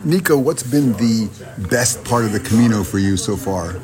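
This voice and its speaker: Low-voiced male radio host, unhurried. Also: animated, crowd noise. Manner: unhurried, asks short questions with many "uh" pauses. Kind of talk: field interview on a walking trail. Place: outdoors in a small village.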